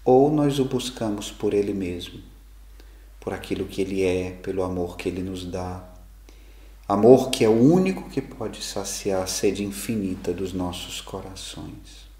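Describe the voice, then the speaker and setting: A middle-aged male priest giving a homily, measured and reflective, with slow emphatic pauses. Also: low-pitched, lively intonation, mellow. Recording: echoey room, faint background noise.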